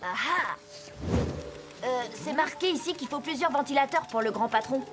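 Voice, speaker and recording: A woman voicing a cheeky, scheming schoolboy in an animated series dub, talking fast and bossily. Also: high-pitched, music in the background. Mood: bossy. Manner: fast, bossy, persuasive.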